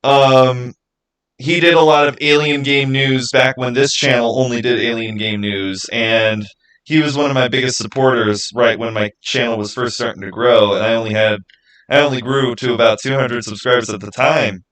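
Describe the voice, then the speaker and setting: A man's voice: medium pitch, fairly even intonation, moderate pace, a bit bright, rough recording. Casual man hosting a livestream. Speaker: livestream host. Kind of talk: casual livestream chat with viewers.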